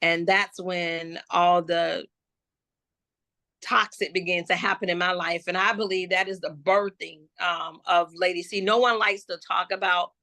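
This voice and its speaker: Bright-voiced woman from Florida. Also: lowish voice, fairly even intonation, no echo. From Jacksonville, Florida. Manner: slow, reflective, with many restarts and fillers. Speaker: gospel hip-hop artist guest. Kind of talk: personal life testimony in an interview.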